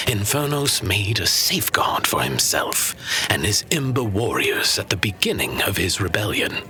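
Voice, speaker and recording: An actor playing a seasoned, patient master storyteller teaching his pupil in a fantasy audio drama, with measured, theatrical, breathy delivery. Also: fairly low pitch, pushed and bright, heavily acted.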